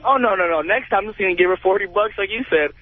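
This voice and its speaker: A man's voice: high voice, rapid-fire, faint music behind. A young man calling a radio show, chatting casually with an easygoing, slightly sheepish and hesitant delivery. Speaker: phone-in caller. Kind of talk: casual chat. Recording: tinny, noisy phone line on radio.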